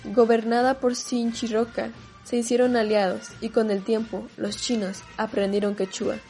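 A female narrator for a scripted radio drama. Her voice is mellow and slightly high. Faint music plays behind her. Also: level tone, moderate pace.

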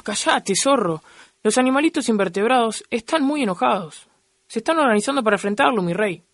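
A woman voicing an agitated, gossiping crow who informs on others in a children's radio play, urgent and excitable. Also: on the high side, a little fast, slight background noise.

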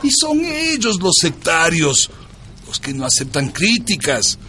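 An actor playing an earnest, aggrieved veteran doctor and party comrade in a radio drama, speaking emphatically with an Ecuadorian accent. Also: male voice, high voice, wildly swooping pitch, takes it slowly, bright, forceful tone, a little hiss or hum, heavily acted. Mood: bitter.

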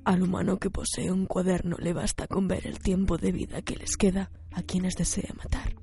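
A woman voicing a solemn, deadpan death spirit in a radio drama. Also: fairly low pitch, moderate pace, vocal fry, breathy edge, faint music behind, heavily acted. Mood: solemn, detached. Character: solemn death spirit guardian explaining its rules.

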